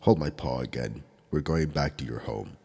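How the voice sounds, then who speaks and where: A man doing a gruff, gravelly demon enforcer. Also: warm tone. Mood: gentle. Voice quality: deep gravelly husky voice. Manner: scolding, measured, low-key delivery. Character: stern male demon enforcer, gruff but protective. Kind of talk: ASMR audio roleplay.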